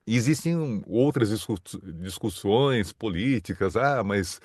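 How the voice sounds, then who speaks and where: A man from São Paulo with a fairly low voice, recorded dry and close. He explains his points thoughtfully, restarting words now and then and laughing lightly. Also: animated, slow, darkish tone, no echo. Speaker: guest, communication scholar. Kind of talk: interview answers.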